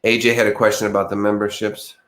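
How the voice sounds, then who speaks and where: A man's voice: lowish voice, little pitch movement, fast, fairly bright tone, reverberant. A man hosting a casual true crime livestream, rambling with many fillers and restarts, a little congested from allergies. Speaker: true crime channel host. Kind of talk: casual livestream chat with viewers.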